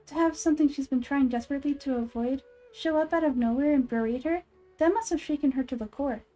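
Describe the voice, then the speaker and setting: A gentle young girl deity from a fantasy game, voiced by a woman. The high voice is mellow and measured, explaining things politely and thoughtfully. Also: faint music behind, natural voice. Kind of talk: video game character voice acting.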